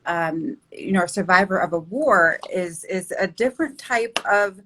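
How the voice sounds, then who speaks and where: A bubbly woman hosts a live online interview show, gushing with excitement over her guest. Her voice is bright and forward. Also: fairly low pitch, moderate pace, poor audio. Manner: bubbly and effusive. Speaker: host.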